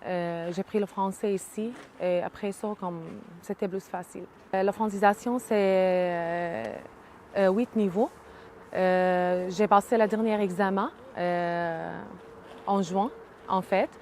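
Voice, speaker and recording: A Syrian woman in her thirties whose first language is Arabic, speaking hesitantly with many pauses and fillers in an interview. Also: mid-range voice, steady tone, a little mellow, slight background noise. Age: 30s. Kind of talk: casual interview.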